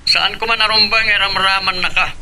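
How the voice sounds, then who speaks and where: Gravelly, high-pitched stern Ilocano boss. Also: male voice, steady tone, brisk, pushed and bright, exaggerated voice. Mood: stern, questioning. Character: stern, questioning father and company boss. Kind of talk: Ilocano radio drama acting.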